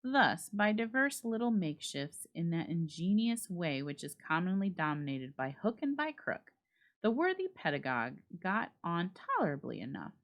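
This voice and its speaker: A woman reading a classic story aloud as a dramatic, expressive narration. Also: lowish voice. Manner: expressive read-aloud delivery. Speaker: library staff reader.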